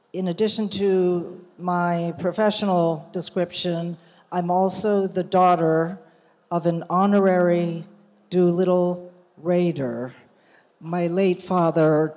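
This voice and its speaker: A woman from the United States, a journalist, giving a measured storytelling talk at a commemorative event, with some fillers and restarts. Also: fairly low pitch, darkish tone. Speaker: journalist and guest speaker. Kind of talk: prepared public talk. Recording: reverberant hall.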